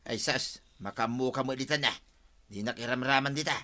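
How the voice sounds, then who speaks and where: A man performs a village husband in an Ilocano audio drama. His mid-range voice is bright and forward, easygoing with a mild scolding streak. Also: mid-range voice, fairly quick, close to an everyday voice. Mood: mildly scolding. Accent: Filipino, Ilocano.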